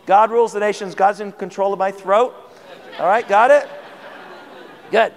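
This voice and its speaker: High-voiced male preacher in a reverberant hall. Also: fairly even intonation, moderate pace, some chatter behind. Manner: conversational, asks the audience questions. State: tired voice. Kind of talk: sermon teaching.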